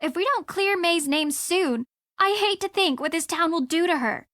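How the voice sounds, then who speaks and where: Assertive young woman for a mystery game, high voice, brisk, sardonic and impatient, close dry sound. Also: a bit bright, no echo. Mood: urgent, pushy. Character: brisk, blunt, skeptical young woman, pushy ally. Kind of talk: scripted video game voice acting.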